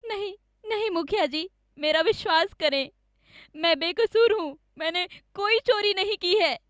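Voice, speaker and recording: A woman voicing an honest, trusting village man with an earnest, expressive manner in a cartoon story. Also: very high voice, measured pace, dry recording, clean studio sound, voice pushed up. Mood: pleading.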